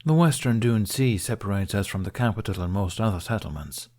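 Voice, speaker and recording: Guy doing a calm seasoned space monk, dry and proper, measured audiobook dialogue. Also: lowish voice, natural voice. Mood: calm, assured. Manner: measured, formal, unhurried. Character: composed, seasoned male Jedi in exile, dryly formal.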